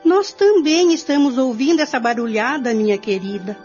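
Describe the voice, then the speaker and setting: A muffled radio play recording with clear music under it. An actress plays a concerned, motherly mistress of a household, her high voice swooping theatrically as she soothes. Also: normal speed, darkish tone, close to an everyday voice. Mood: soothing, worried. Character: anxious, motherly lady of the house. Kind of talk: radio soap melodrama.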